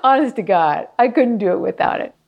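A woman who works as a hospital chaplain, telling candid stories in an interview with a joking, animated manner. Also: mid-range voice, fairly quick, vocal fry, breathy edge, muffled recording. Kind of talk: storytelling interview.

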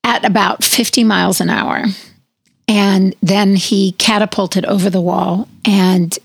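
Woman, raspy, hoarse, gravelly, dry close sound, chatty podcast co-host. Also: mid-range voice, fairly even intonation, a little slow, no echo. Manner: chatty storyteller, fillers like "like" and "you know". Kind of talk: casual chat.

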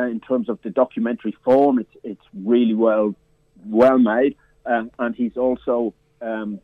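A man's voice: mid-range voice, no room sound. A man who programs a film festival talks about films in a radio interview, stopping and restarting often with many fillers. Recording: tinny, dry phone line.

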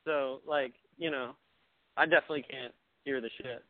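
A man's voice: medium pitch, steady tone, moderate pace, no room sound. A man in his twenties in casual chat, laid-back, noncommittal and dryly joking. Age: 20s. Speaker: talk show participant. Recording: thin, phone-like narrowband sound.

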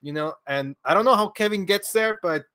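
Male guest from Venezuela, chatty storyteller, higher register, dry recording. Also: a little fast, pushed and bright, dry recording. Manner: rambling, laughs often, many restarts. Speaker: podcast guest, author. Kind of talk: casual conversational storytelling.

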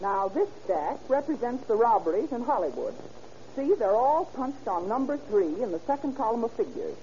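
An American actress playing a brisk, confident police records clerk in an old-time radio drama, explaining things with assurance. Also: female voice, on the high side, animated, warm tone, highs cut off, a little hiss or hum, close to an everyday voice.

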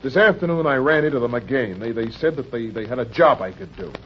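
An actor playing a frightened, down-on-his-luck husband and father in old-time radio crime drama, with an American accent, a husky voice and stammering, pleading delivery. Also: medium pitch, lively intonation, fast, slight background noise, lightly acted. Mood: anxious confessing. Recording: dull, lo-fi old radio recording.

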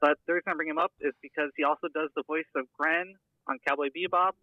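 A man's voice: on the high side, steady tone, fast, no echo, narrow, tinny audio. A man leaving a casual, hesitant, rambling voicemail as a listener calling in, recorded over a phone.

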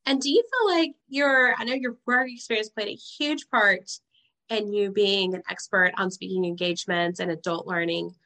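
Woman host, loud projecting voice, bright tone, affirming, reflective questions. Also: medium pitch, moderate pace, poor audio. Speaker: interviewer, host. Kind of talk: conversational interview.

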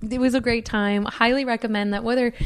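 A raspy, hoarse woman in her thirties, co-hosting a podcast in casual chat and filling her talk with "like". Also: a little high, fairly even intonation, fairly quick, slight background noise. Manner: chatty, full of "like" fillers. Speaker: podcast co-host.

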